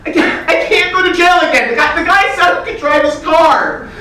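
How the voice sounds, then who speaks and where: A man's voice: very high-pitched, normal speed, pushed and bright. A man playing a drunk, apologetic, troubled adult son in a staged reading, stammering and muddled. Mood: anxious, pleading. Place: reading before audience in a roomy venue. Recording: echoey hall, distant mic, faint noise.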